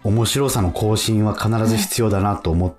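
A man's voice: on the low side, normal speed, soft background music. A man hosting a casual radio chat, reacting readily and asking questions. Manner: animated, reactive, frequent backchannels and questions. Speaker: radio show host.

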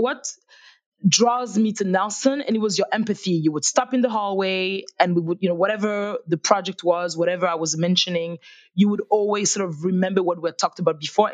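Woman from Africa, podcast host, eager and effusive, chatting over a video call. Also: medium pitch. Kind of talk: podcast interview.

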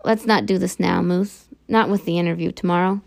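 Soft-spoken teasing father, hushed, slightly gravelly, as a woman narrator reads him. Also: on the low side, steady tone, a little fast. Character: gentle teasing father, middle-aged.

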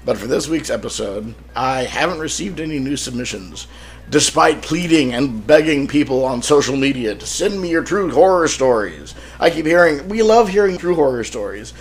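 A man hosting a solo podcast in a casual, wryly joking manner, his voice a little rough from being sick. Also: mid-range voice, expressive, normal speed. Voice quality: slightly rough, throat-clearing. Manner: wry, self-deprecating joking. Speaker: podcast host and author.

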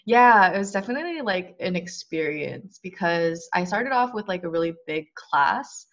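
Young woman chatting w friends, lowish voice, lots of fry, says like a lot. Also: expressive, moderate pace, a bit bright, lo-fi sound. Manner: chatty, says "like" often, laughs easily. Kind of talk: casual chat.

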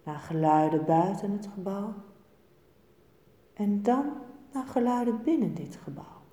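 A woman teaching yoga nidra, giving guided relaxation instructions in a calm, soothing, measured way with pauses between short phrases. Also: mid-range voice. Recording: echoey room with long reverb.

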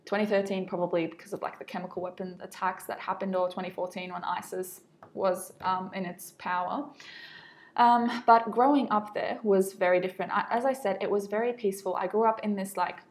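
Young syrian aussie woman, medium pitch, casual storytelling, says like and you know a lot. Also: steady tone, a little fast. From Aleppo, Syria. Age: early 20s. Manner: informal, reflective, frequent "you know" and "like". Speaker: interview guest.

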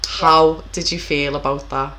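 A woman's voice: sits low, fairly even intonation, measured pace, bright, forceful tone, a little hiss or hum, rough recording. A young woman, interviewing a guest in a blunt, chatty, casual way with some swearing. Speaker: interviewer, professional boxer. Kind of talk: casual chat.

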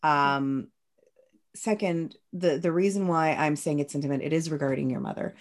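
A woman hosting a podcast, interviewing her guest carefully and gently, and often stopping to clarify points. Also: sits low, moderate pace. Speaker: podcast host.

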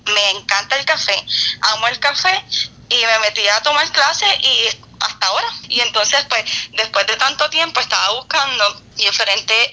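A young Puerto Rican woman chatting casually as an interview guest, explaining things in an expressive, talkative way. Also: on the high side, highs cut off. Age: young adult. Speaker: guest, barista and coffee YouTuber.

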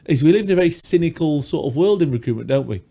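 A man co-hosting a podcast, chatty and jokey, a little hoarse from a sore throat. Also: a little high, expressive, fast, dark tone. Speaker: podcast co-host. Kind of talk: casual chat. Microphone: studio mic with pop filter.

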